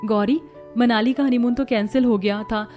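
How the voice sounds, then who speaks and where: A woman voicing a gentle, trusting young wife and elder sister in an animated family drama. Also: fairly high pitch, normal speed, faint music behind, natural voice. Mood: happy.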